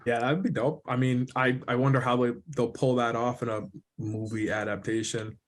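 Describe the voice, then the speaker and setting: Male co-host, average pitch, informal conversational podcast delivery. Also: level tone, moderate pace. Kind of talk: casual chat among friends.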